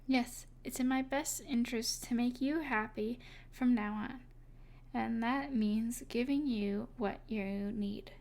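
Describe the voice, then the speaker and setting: A woman playing an evil sorceress, possessive and tenderly devoted, speaking softly and deliberately in an intimate ASMR roleplay. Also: a little high, vocal fry. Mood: reassuring. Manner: soft, deliberate, soothing yet possessive.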